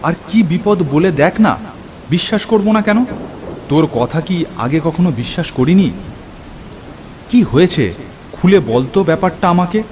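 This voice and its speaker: A man playing an earnest, excitable friend who tells a cartoon horror story in the first person, in an expressive, theatrical way. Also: high-pitched, a little slow, pauses often, noisy background. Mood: concerned, insistent. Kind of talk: dramatic scripted first-person horror narration. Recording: clear rain and thunder over voice. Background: rain and thunder effects.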